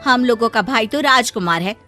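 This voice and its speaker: A woman voices a snobbish sister-in-law in a cartoon family tale. She talks down to others and brushes them off in a very high, bright voice. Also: expressive, moderate pace, soft background music. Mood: disdainful. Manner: condescending, dismissive. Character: snobbish, evasive married sister-in-law, doting on her brother.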